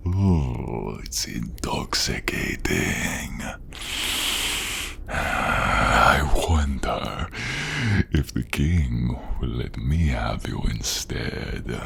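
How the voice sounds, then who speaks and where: A man's voice: a bit bright, slight background noise, exaggerated voice. A man voicing a menacing, inhuman grand inquisitor with a hunger for blood, commanding and savoring his words in a fantasy audio role-play. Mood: savoring, intoxicated. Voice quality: very deep, gravelly, breathy. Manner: slow, savoring, commanding, condescending.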